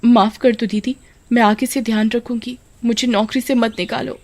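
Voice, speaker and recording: A woman voicing a wronged housemaid, plaintive and quietly ominous, in a horror story. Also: on the high side, fairly even intonation, moderate pace. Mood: pleading. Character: wronged housemaid, soft-spoken, secretly vengeful and eerie.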